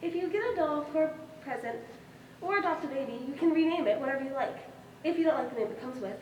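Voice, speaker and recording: A woman's voice: high-pitched, expressive, quick pace, darkish tone. Woman as self-assured young girl, childlike emphatic boasting, stage monologue, echoey room, background noise. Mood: matter-of-fact. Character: self-assured, fussy young girl obsessed with her bedroom. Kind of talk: stage monologue in a one-act play. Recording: roomy, distant stage recording with noise.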